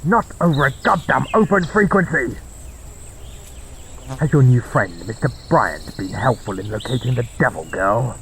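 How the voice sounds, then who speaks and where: A man's voice: mid-range voice, lively intonation, moderate pace, background noise, natural voice. An actor playing a stern male superior giving clipped orders by radio. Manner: clipped, authoritative. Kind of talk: audio drama acting.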